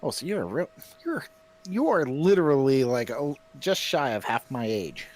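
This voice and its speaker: A man's voice: medium pitch, very animated, normal speed, a little mellow. A man in his fifties hosting a casual chat while painting, full of self-mocking jokes and banter. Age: 50s. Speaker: stream host.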